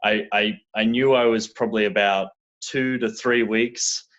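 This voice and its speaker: A young Australian man with an Australian accent, telling his story in a relaxed, casual interview, often restarting his sentences. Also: lowish voice, unhurried, muffled recording. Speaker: guest.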